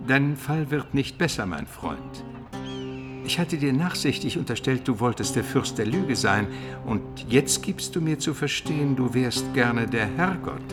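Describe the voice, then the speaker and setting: An actor playing a reproving yet indulgent friend in a radio play, dry, formal and ironic. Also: male voice, mid-range voice, lively intonation, unhurried, over music, lightly acted. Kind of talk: German radio play dialogue.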